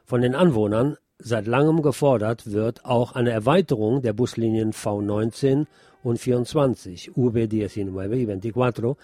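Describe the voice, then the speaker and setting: A man's voice: medium pitch, moderate pace, mellow, dry recording, studio quality. A man presenting scripted radio news as a narrator, with a slightly wry, colloquial delivery.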